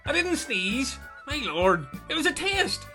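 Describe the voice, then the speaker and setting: Shrill, excitable eccentric schemer. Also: male voice, measured pace, faint music behind, exaggerated voice. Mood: exclaiming. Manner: exclaiming, melodramatic, rambling. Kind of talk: comedy radio play acting.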